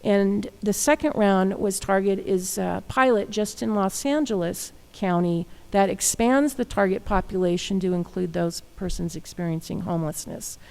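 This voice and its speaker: A woman official giving formal, halting testimony about programs at a public hearing. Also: mid-range voice, gravelly, darkish tone. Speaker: state housing official. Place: government hearing room.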